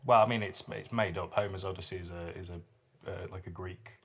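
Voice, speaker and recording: British dad, casual and wry. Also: male voice, low voice, fairly even intonation, a little fast, fairly bright tone, a little hiss or hum. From United Kingdom. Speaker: podcast host and dad. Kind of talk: casual chat with dramatic poetry readings.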